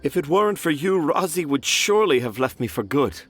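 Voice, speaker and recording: A gentle, very expressive father. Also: a little high, fairly quick, soft background music. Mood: calm. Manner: calm, advising, sincere. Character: gentle middle-aged father, repentant.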